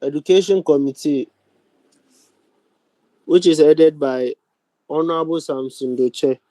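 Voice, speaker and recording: A young man with a Ghanaian accent and a slightly high, mellow voice presides over a formal meeting on a video call. He speaks deliberately, stopping often between short phrases. The sound is dry and close. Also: no room sound, rough recording. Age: young adult. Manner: deliberate, halting, with many pauses. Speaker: speaker of a youth parliament. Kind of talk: formal chairing of a virtual meeting.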